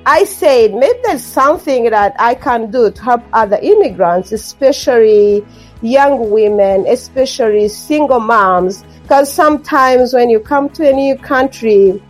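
Woman with a higher voice. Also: animated, a little slow, soft background music, rough recording. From Rwanda. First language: Kinyarwanda. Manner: earnest, repeats phrases, frequent ums. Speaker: guest author. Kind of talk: interview conversation.

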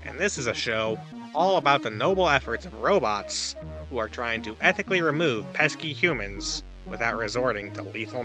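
A man's voice: medium pitch, bright, forceful tone, rough recording. A man playing a cheerful, deluded god who hosts an advice podcast, in a breezy, theatrical comedic audio drama style.

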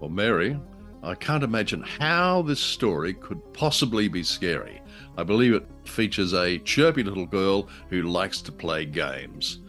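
A man's voice: low-pitched, big pitch swings, measured pace, fairly bright tone, faint music behind. A man co-hosting a show with scripted banter in an arch, mock-innocent manner. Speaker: podcast co-host.

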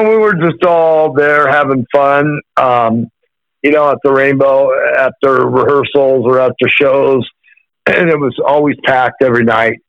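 A man calling in as a musician guest, his voice a little rough after rehearsal, rambling with many restarts. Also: mid-range voice, no echo. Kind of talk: phone interview, reminiscing. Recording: phone call, dull and dry.

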